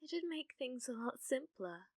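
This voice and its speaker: Woman acting a high-voiced British obsessive witch. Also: expressive, measured pace, a little mellow, no echo, clean studio sound, full character voice. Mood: smitten, scheming. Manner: breathy cooing, often dropping into whispers. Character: obsessive, clumsy, lovestruck young witch, doting yet menacing. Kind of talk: ASMR roleplay.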